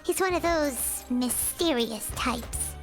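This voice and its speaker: A woman voicing a small, childlike fairy companion in a video game, chirpy, wary and excitable. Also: high voice, slow, faint music behind. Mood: puzzled. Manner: excitable, exaggerated, expressive.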